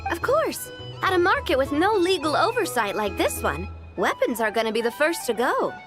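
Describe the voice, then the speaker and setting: A woman's voice: sits high, sing-song, normal speed. A woman voicing a confident, friendly young gang boss in a video game, playful and nonchalant. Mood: breezy, confident. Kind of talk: video game character acting. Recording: game music behind.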